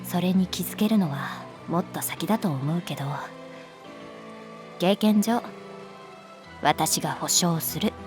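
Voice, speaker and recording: A woman playing a caring, composed female homeroom teacher in a Japanese voice drama, speaking in a calm, measured and gentle way. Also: fairly low pitch, music in the background, close to an everyday voice. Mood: resigned, sincere.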